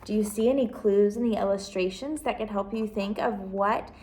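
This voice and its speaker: A woman's voice: mid-range voice, steady tone, normal speed, a little mellow, slight background noise. A woman reading a children's picture book aloud as a storyteller, expressively and engaging her listeners with questions.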